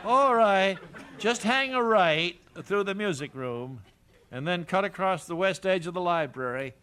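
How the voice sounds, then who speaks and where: A man's voice: high voice, expressive, unhurried, sharp tone, voice pushed up, heavily acted. A man voicing a strong-willed, wealthy society matron in an old radio soap parody, with a grand, breezy manner. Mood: breezy, commanding. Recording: noisy old radio recording with clicks.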